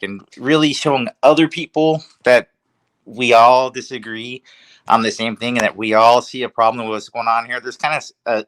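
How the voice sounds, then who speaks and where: A man's voice: medium pitch, a bit bright, no echo, poor audio. A man on a livestream panel giving his opinion in casual conversation. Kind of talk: casual discussion.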